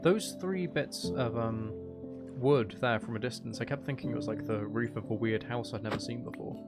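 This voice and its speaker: A man's voice: mid-range voice, normal speed, loud music behind the voice. A man doing casual live gameplay commentary, rambling and thinking aloud as he chats with viewers. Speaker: video game livestreamer.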